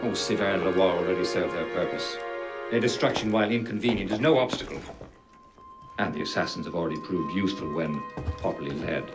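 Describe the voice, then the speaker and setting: An actor playing a cold, scheming middle-aged grandmaster in a game cutscene, measured, deliberate and formal. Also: male voice, sits low, music in the background. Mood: cold, composed. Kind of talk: dramatic video game cutscene acting.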